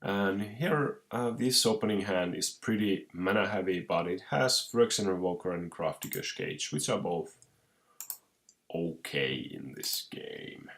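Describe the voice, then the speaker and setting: A young man with a Finnish accent who is sick with a cold, commentating his own card game match with many pauses, restarts and throat clearing. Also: deep voice, expressive, fairly bright tone. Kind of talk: casual gameplay commentary.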